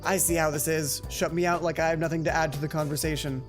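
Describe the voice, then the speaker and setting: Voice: medium pitch, steady tone, quick pace, music in the background, lightly acted. A let's play reader voicing a sassy, melodramatic robot bird in a theatrical, haughty manner. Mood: sulky.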